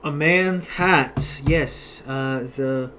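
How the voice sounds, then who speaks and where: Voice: medium pitch, extremely slow, a bit bright, slight background noise. A streamer giving casual, slangy, playful gameplay reactions with muttered asides. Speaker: gaming streamer. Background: game music.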